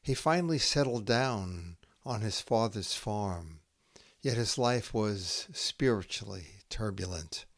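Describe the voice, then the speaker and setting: A man hosting a poetry podcast, reading scripted lines in a measured, deliberate way with long pauses between short phrases. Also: fairly low pitch, animated, no room sound, studio quality.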